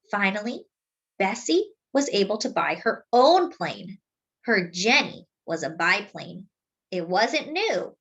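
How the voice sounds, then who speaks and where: A woman with a fairly high voice reads a children's book aloud. Her pitch moves in wide, lively swings, and she phrases deliberately, stretching words out for dramatic emphasis. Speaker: storyteller reading a picture book aloud. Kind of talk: expressive children's read-aloud narration.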